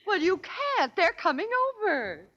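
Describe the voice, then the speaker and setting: A breezy, scheming wife who bosses her husband in an old radio comedy. Her high voice swoops widely with coy, theatrical airs. The recording is muffled and hissy. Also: very animated, normal speed, a bit bright, heavily acted. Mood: bossy, amused. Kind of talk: 1940s radio situation comedy acting.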